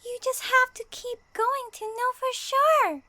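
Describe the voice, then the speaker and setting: Casting: tiny fairy sidekick, extremely high and bright, bossy, loyal, exclamatory, audio drama. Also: female voice, fairly even intonation, measured pace, no room sound, exaggerated voice. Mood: gentle, encouraging. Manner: speaks of self in third person, exclamatory. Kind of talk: fan audio roleplay acting.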